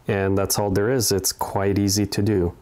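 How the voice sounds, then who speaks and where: Low-pitched middle-aged man giving repair instructions. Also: flat delivery, normal speed, a little mellow. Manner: plain-spoken, step-by-step instruction. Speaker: small engine repair host. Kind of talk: hands-on tutorial narration. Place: indoor repair workshop.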